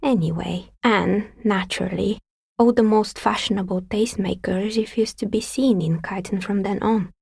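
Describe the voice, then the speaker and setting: An actress voicing a dry, sceptical woman dicemaker in a video game, with a soft, breathy, husky voice and a wry, understated delivery. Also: medium pitch, fairly even intonation, moderate pace, no room sound. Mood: dry, informative. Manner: wry, understated, matter-of-fact. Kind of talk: video game character dialogue.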